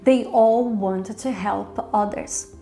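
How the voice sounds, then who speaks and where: A woman reviewer delivering semi-scripted commentary in an articulate, explanatory way with measured phrasing. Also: mid-range voice, fairly even intonation, mellow, soft background music. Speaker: drama reviewer hosting own review show.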